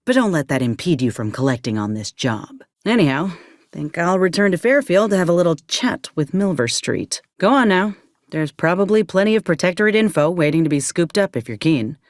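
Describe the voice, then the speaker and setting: Game companion: plain-spoken female ex-army medic, wary and folksy, mid pitch with lively swings, close dry recording. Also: fairly quick, no echo. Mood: wry, guarded. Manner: folksy, drawling frontier speech with ain't and folk. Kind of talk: video game character dialogue.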